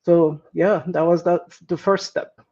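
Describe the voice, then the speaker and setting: A man's voice: fairly high pitch, steady tone, a little slow, darkish tone, highs cut off. A man, answering interview questions with many fillers and restarts. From Turkey. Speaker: guest.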